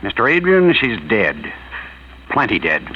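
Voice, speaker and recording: Low-voiced, wry radio coroner. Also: male voice, wildly swooping pitch, a little slow. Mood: wry, weary. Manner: dry, sardonic, matter-of-fact. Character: world-weary, wry, blunt male coroner. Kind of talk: 1940s radio acting. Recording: muffled vintage radio, faint noise.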